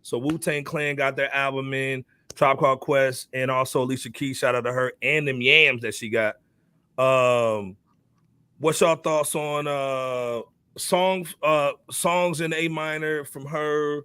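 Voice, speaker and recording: A man's voice: medium pitch, steady tone, measured pace, pushed and bright, clean tone, no room sound. A man hosting a casual hip hop podcast, laid-back, tagging "man" onto most phrases. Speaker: podcast host.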